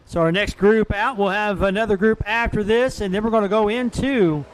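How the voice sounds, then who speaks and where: A man's voice: high voice, normal speed, rough recording. A man working as a dirt track race announcer, calling out drivers, car numbers and lap times in live commentary. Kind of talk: live motorsport commentary over a PA, reading names and times.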